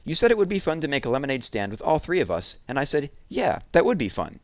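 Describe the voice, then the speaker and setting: A man's voice: a little high, huge pitch swings, fast, no echo, voice pushed up. A man voicing a stubborn, defensive young schoolgirl in an emphatic, indignant way for a storybook.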